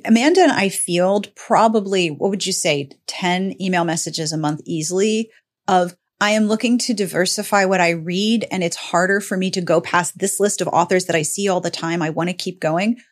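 A woman's voice: lowish voice, moderate pace. A woman co-hosting a podcast, chatting casually in an emphatic, blunt manner. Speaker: podcast co-host.

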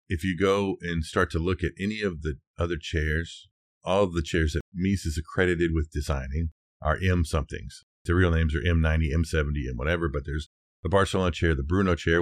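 A man's voice: rumbling low, fairly even intonation, brisk, dry recording. A man co-hosting a podcast, chatting casually and informally with wry asides. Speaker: podcast co-host. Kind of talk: casual conversational discussion.

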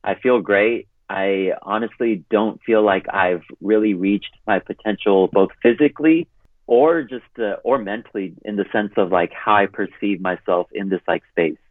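A man's voice: lowish voice, normal speed, dry recording. A man turning thirty, from California, talking as a podcast guest in a rambling way full of "like". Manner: rambling, heavy use of "like". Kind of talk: casual interview chat. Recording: tinny phone line.

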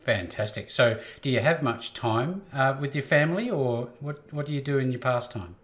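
A man's voice: mid-range voice, moderate pace. Man, show host, upbeat, encouraging, asks questions. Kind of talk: interview hosting.

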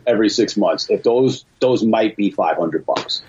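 A man's voice: fairly low pitch, normal speed, a little mellow, slight background noise. A man appearing as a guest over an online call in casual chat, matter-of-fact. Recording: dull lo-fi call audio.

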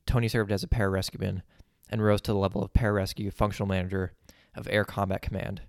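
A man hosting a podcast, reading a measured introduction and asking interview questions. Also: fairly low pitch, flat delivery, vocal fry, no echo, studio quality. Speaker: podcast host.

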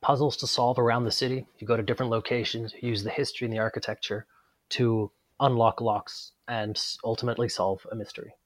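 A man guesting on an interview, explaining things in a relaxed, matter-of-fact way with a touch of dry humor. His voice is mid-pitched and even. The recording is dry and close. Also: fast talker, dry recording. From Netherlands, raised abroad. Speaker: guest game designer. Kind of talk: interview answers.

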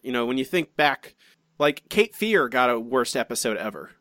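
A man's voice: medium pitch, moderate pace, no echo. A man in the middle of his voice range hosts a casual podcast, reading comments aloud and breaking off into asides and restarts. The sound is dry and close, with no room around it. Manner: chatty and animated, many restarts and asides. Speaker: podcast co-host.

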